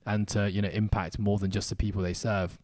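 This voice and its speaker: Mellow-voiced chatty male podcast host. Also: fairly low pitch, flat delivery, no room sound, studio quality. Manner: chatty, upbeat, joking asides with quick laughs. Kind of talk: casual podcast interview. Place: podcast studio in Hong Kong.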